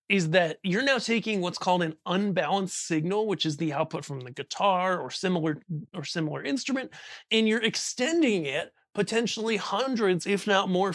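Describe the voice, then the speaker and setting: A man's voice: high voice, a bit bright, dry recording, studio quality. A man hosting a casual explainer tutorial, talking conversationally and often checking in with the listener. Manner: conversational, checks in with frequent "right?" and "okay?". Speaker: host, audio gear instructor.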